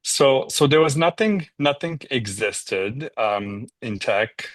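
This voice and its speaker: A man from Morocco, a nonprofit founder, answering interview questions in a reflective way, often pausing on "um" and checking in with "right?". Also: medium pitch, lively intonation.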